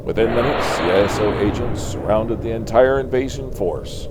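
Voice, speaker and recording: A man's voice: lowish voice, animated, moderate pace, heavy background noise. An older man from Canada reading a comic book aloud as a dramatic storyteller.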